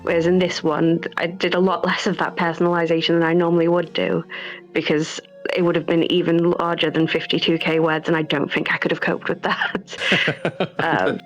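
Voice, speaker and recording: A woman's voice: lowish voice, flat delivery, normal speed, soft background music, low-quality recording. A woman giving casual, informal interview answers as a game developer, thinking aloud as she goes.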